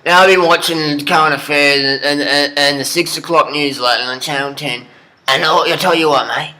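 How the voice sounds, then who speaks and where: A crude, foul-mouthed Australian bloke, as a man puts him on, venting in a comic rant that tumbles over its own restarts. The voice sits a touch high for a man and cuts with a hard, sharp edge. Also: steady tone, brisk, a little hiss or hum, poor audio. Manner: ranting, heavy swearing, rambling restarts. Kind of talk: comic character monologue.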